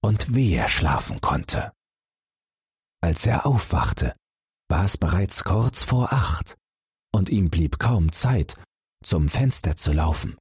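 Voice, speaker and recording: A man reading a fairy tale as a calm bedtime story, gently addressing young listeners. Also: sits low, slightly rough, no room sound, clean studio sound. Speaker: audiobook narrator.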